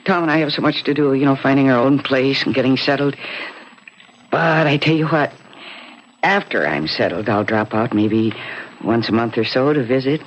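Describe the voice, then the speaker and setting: An actress playing a polite, nostalgic woman in a 1970s radio drama, gentle, hesitant and earnest, on an old recording. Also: very deep voice, normal speed, lo-fi sound, a little hiss or hum. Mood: wistful. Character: polite, wistful woman nostalgic for her childhood home.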